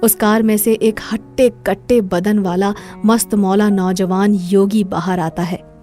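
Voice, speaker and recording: A woman's voice: medium pitch, steady tone, a little slow, warm tone, faint music behind. A woman narrator reading a scripted moral story.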